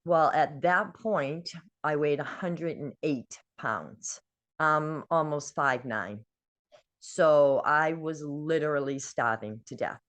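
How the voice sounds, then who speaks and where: An older woman from New England shares a personal story in a low, unhurried voice. She talks earnestly and with feeling, filling her pauses with ums. Her delivery is slow and heartfelt. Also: a bit bright. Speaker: guest. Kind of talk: personal testimony.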